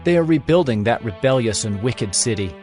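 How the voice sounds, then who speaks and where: A man's voice: mid-range voice, animated, a little fast, a little mellow, soft background music, lightly acted. A man reading as scheming Persian-era officials. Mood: accusing. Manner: formal, accusatory reading. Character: officials writing an accusing letter, men.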